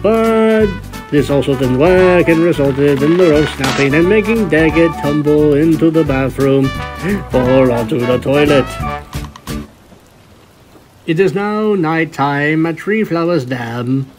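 Slow-paced male story narrator over music. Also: a little high, poor audio. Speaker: narrator of a fan fiction reading. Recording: music bed behind the voice.

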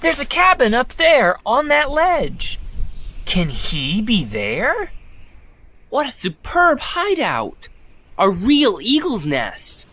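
A man's voice: very high-pitched, huge pitch swings, slow, pushed and bright, heavily acted. A man playing a brave, polite, earnest young reporter hero in an audio drama.

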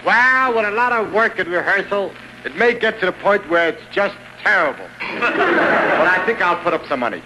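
A man's voice: high voice, sing-song, normal speed. An actor playing a blunt, smitten moneyman bankrolling a show in a 1950s radio comedy. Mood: bossy, smitten. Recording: noisy old radio broadcast.

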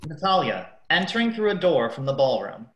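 A man narrating a scripted radio play in a measured, announcing manner. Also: fairly high pitch, sing-song, a bit bright. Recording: echoey room.

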